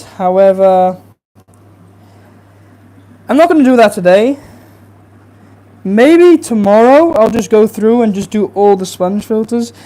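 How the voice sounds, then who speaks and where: A young adult fishkeeping streamer chatting casually and rambling with fillers during a livestream from a home fish room, with a soft, relaxing voice. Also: medium pitch. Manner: easygoing, rambling, many fillers. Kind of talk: casual livestream chat while cleaning tanks.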